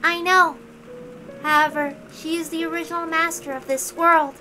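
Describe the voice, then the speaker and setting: High bright voice, woman playing a solemn young otherworldly lady, stiff and polite, measured delivery, story reading over music. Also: fairly even intonation, rough recording. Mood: formal, solemn.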